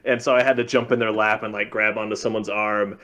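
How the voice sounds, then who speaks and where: A man's voice: on the low side, level tone, fast talker, sharp tone. A man on a game masters' panel, telling anecdotes in casual chat.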